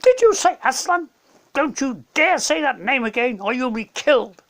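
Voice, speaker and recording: A man's voice: extremely high pitch, sing-song, moderate pace, a bit bright, pitched above the performer's own voice, heavily acted. A man voicing a furious, imperious witch queen in a storybook reading, commanding and possessive. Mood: threatening. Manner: commanding, demanding.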